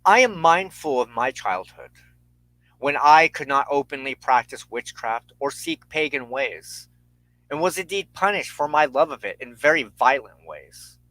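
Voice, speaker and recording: Slow, solemn man with a bright, forceful voice. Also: medium pitch, animated, no echo, rough recording. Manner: measured, ceremonious, formal phrasing. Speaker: host of a weekly spiritual talk show. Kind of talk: solemn sermon-like spiritual teaching.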